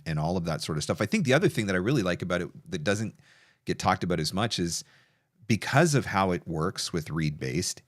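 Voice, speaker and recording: A middle-aged man with a low-pitched voice speaks as a webinar host. His delivery is conversational, enthusiastic and emphatic, and the sound is dry with hardly any room in it. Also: dry recording. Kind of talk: casual interview and product pitch.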